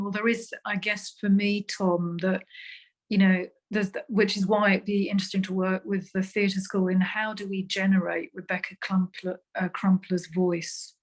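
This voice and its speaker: A woman researcher on a panel, speaking carefully and hesitantly with many pauses. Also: mid-range voice, steady tone. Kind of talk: panel discussion.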